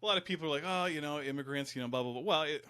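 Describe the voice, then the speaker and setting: A man's voice: fairly high pitch, very fast, bright, forceful tone, smooth, dry recording, clean studio sound. Folksy, rambling male guest. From Upper Peninsula of Michigan. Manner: rambling, folksy, self-deprecating. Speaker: guest, fire service educator. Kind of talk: casual interview.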